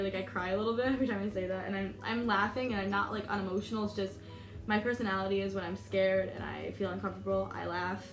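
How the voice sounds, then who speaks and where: A young American woman in her early twenties tells a story to her camera in a chatty, rambling way, peppering it with "like" and "um" and nervous little laughs. Her voice sits at a middle pitch, and the room rings with echo. Music plays clearly underneath. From United States. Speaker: vlogger. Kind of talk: storytime vlog. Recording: echoey room with music behind.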